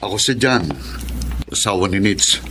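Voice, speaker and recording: A man's voice: on the low side, measured pace, background noise, natural voice. A man playing a brooding, jealous husband longing for his estranged wife in a Filipino radio drama, with music behind him. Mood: angry, threatening.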